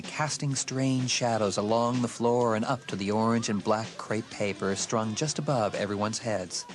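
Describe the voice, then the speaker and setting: A man reading a dramatised horror audiobook as a scripted narrator. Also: mid-range voice.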